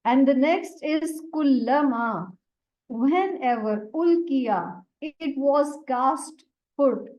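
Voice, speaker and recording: A woman whose first language is Urdu, teaching a Quran lesson and explaining Arabic words one by one in a deliberate way. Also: high voice. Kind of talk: slow explanatory religious lesson. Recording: dull lo-fi recording.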